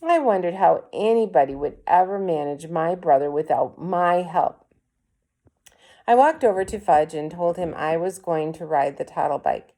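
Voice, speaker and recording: A woman reading a children's story aloud as an expressive storyteller. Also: on the low side, normal speed. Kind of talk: expressive children's book narration.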